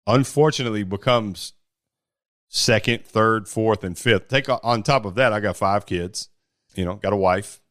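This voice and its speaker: A man with a big, deep voice, talking bluntly about running a startup in a casual podcast conversation. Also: normal speed, dry recording, clean studio sound. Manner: blunt and forceful, with mild swearing. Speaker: startup founder.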